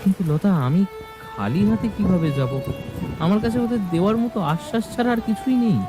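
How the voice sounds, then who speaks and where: A man's voice: high-pitched, normal speed, over music, lightly acted. A man playing an earnest, proud, poor young woodcutter in love in a cartoon, often pleading. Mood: troubled, resolute.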